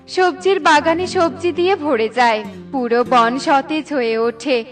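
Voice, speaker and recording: A woman reading scripted narration for a children's cartoon story. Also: sits high. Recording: music behind the voice. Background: background music.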